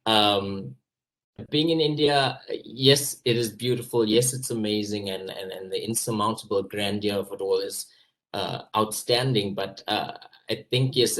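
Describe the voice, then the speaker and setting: A man's voice: on the low side, low-quality recording. A South African actor on a video call, recalling his time abroad in an earnest, enthusiastic way. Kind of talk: casual personal recollection.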